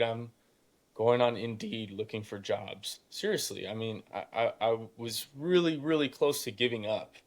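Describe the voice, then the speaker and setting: Emphatic young man, youthful and informal. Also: mid-range voice. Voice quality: youthful voice that still cracks at times. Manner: emphatic, informal, acts out imagined dialogue. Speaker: podcast guest, young real estate investor. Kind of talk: casual conversational motivational storytelling.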